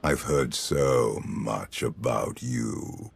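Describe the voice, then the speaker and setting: An ancient evil emperor from a video game, with a bottomless, husky voice. He speaks slowly, with calm menace and pompous detachment. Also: rumbling low, faint music behind, full character voice. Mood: cool curiosity. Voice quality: gravelly, husky. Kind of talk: dramatic video game acting.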